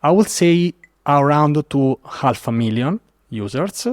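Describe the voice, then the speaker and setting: A man from Italy with an Italian accent, telling a story as a podcast guest in an animated way with many fillers. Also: fairly high pitch, a little slow, no room sound, studio quality. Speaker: guest developer advocate. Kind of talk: casual podcast interview.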